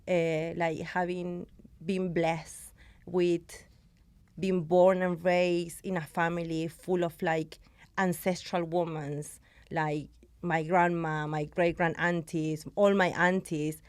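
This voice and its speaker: A woman's voice: fairly low pitch, flat delivery, takes it slowly, clean tone, no echo. Passionate woman guest, dry sound. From Argentina. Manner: passionate, switches into Spanish. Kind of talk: podcast conversation.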